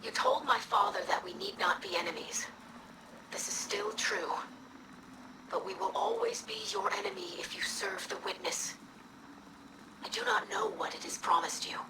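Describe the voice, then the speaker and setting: Creaky young alien, formal and whispery. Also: female voice, extremely high pitch, huge pitch swings, heavily acted, poor audio. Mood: solemn appeal. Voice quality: breathy, creaky, whispery rasp. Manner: formal, measured, uncontracted speech. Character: earnest young female alien, formal, proud, wounded daughter. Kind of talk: scripted video game dialogue.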